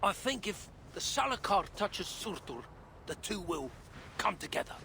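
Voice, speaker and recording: An actor playing a stubborn, eccentric old dwarf inventor in a video game, musing in folksy, rambling, colloquial speech. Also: male voice, sits high, very animated, moderate pace, gravelly, pushed and bright, a little hiss or hum, exaggerated voice. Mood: thoughtful, insistent. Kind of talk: dramatic video game acting.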